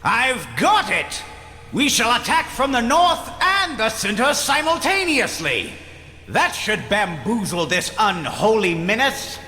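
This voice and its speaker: A man's voice: high voice, very animated, takes it slowly, bright, forceful tone, roomy sound, long reverb tail, heavily acted. A man playing a bumbling, bombastic heroic commander in a video game, declaiming pompously. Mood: triumphant. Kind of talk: video game character acting.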